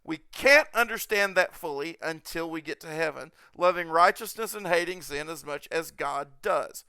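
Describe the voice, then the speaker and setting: Bright, clear-voiced man hosting a podcast. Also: fairly high pitch, moderate pace, dry recording. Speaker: podcast host.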